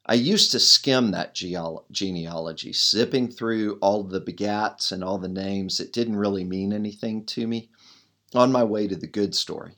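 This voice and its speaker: A middle-aged pastor with a low, gravelly voice reads his own devotional writing aloud. He is loud and earnest, pressing hard on the words that matter most. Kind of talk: reading a devotional blog as a podcast.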